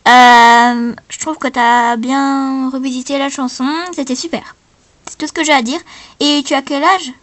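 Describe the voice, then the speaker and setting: A woman voicing gushing female talent-show characters in an amateur doll play, improvising with hesitant, repetitive, halting phrasing. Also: sits high, fairly even intonation, a bit bright. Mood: admiring.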